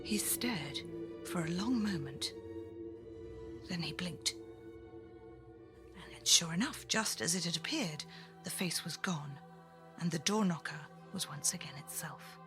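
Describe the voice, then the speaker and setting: A woman narrating a children's story with theatrical, deliberate, suspenseful phrasing. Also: fairly low pitch, animated, over music.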